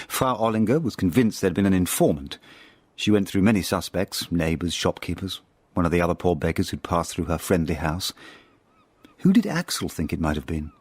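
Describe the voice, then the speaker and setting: British intelligence officer narrating his past, radio drama, deep voice, very dry sound, measured n reflective. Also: darkish tone, husky, dry recording. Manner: measured, literate, understated storytelling.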